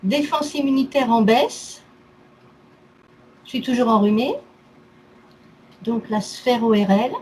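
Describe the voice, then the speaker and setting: Very high-pitched woman, short itemizing phrases. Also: slow, long pauses, darkish tone, rough recording. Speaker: therapy client. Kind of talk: casual recounting of health complaints. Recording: faint background noise.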